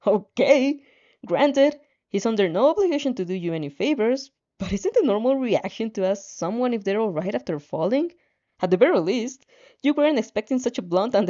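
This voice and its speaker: Animated woman narrator, mellow and slightly lo-fi. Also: mid-range voice. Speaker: fan fiction narrator. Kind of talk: animated story reading with asides.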